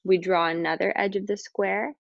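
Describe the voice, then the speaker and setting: A woman leading a guided meditation, speaking calmly and slowly with pauses as she gives instructions. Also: medium pitch, creaky, dry recording, highs cut off. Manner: calm, slow, instructing with pauses.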